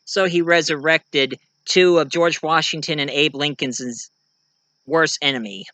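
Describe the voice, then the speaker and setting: Male vlogger, clear crisp voice with a bright edge, casual, halting delivery. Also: fairly high pitch, no room sound. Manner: halting, searching for words. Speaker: video reviewer and host of the channel. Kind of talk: casual talk to viewers about own writing.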